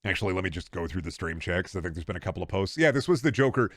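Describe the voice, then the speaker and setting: A man's voice: deep voice, expressive, racing, no room sound. A man from Iowa with an American accent chatting casually on a livestream, rambling and sardonic, repeating words for emphasis. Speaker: game streamer.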